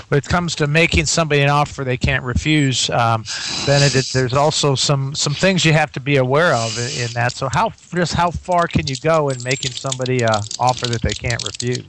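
Male radio host, relaxed chatty delivery, stumbles and restarts, middle pitch. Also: a little fast, noisy background. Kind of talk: casual radio chat.

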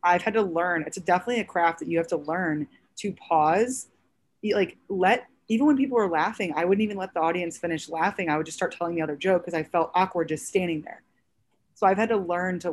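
Comedian guest, woman about thirty, raspy and a touch low, loose animated chat. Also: a little fast. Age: around 30. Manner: chatty, animated storytelling with many fillers. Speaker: stand-up comedian, podcast guest. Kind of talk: casual podcast chat.

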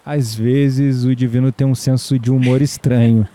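Mellow, reflective Brazilian man, acted. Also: mid-range voice, level tone, unhurried, lightly acted. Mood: amused, self-mocking. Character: Apolo, amiable self-deprecating man, smitten and introspective. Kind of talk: audio drama dialogue and inner monologue.

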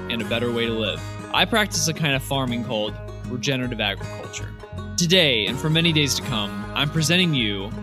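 A man narrates his podcast in a calm, measured voice at a middling pitch. Soft guitar music plays faintly underneath. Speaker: podcast host.

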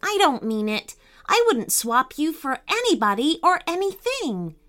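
A kind and spirited young girl from a children's story , put on by a woman. Her voice is high, bright and lively, full of determination. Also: a little slow, heavily acted. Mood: apologetic.

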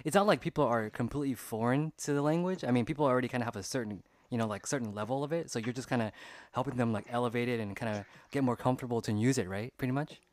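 A man hosting a casual podcast and chatting with a guest, often adding quick agreeing "right, right.". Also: mid-range voice. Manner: quick, frequent "right, right" backchannels. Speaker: podcast host.

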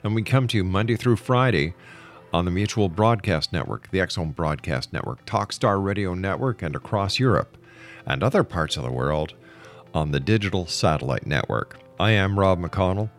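A man's voice: deep voice, expressive, normal speed, faint music behind. A man hosting a radio interview show with a polished announcer's delivery. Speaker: radio host.

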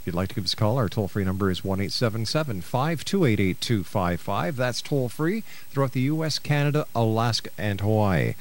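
Low-voiced male radio talk host. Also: expressive, fairly quick, few pauses. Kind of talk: conversational radio hosting. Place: radio studio.

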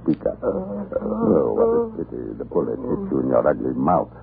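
An actor playing a murderous Tsarist tyrant in old radio horror, gloating and sneering with theatrical mock courtesy in a gravelly voice. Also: male voice, wildly swooping pitch, normal speed, heavily acted. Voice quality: deep, gravelly. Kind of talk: 1930s radio horror acting. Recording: muffled, noisy old radio recording.

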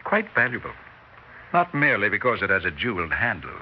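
Mocking, low-voiced villain. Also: male voice, sing-song, fast talker. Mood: menacing. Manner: mocking, sinister. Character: smooth, menacing male villain toying with a knife. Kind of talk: 1960s American radio drama acting. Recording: muffled 1960s radio recording, noticeable hiss.

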